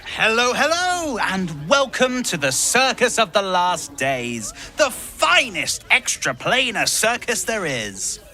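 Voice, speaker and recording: A gushing circus showman in a fantasy game. His high, bright voice leaps all over with flamboyant, ingratiating theatricality. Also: sing-song, slow, exaggerated voice. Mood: gushing welcome. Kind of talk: video game character acting.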